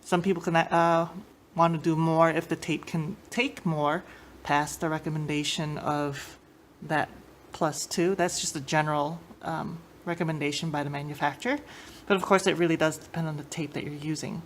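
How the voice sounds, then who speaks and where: Low-pitched woman, casual how-to, noisy recording. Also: steady tone, normal speed. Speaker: host of a tape deck tech channel. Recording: clear background noise, room ambience.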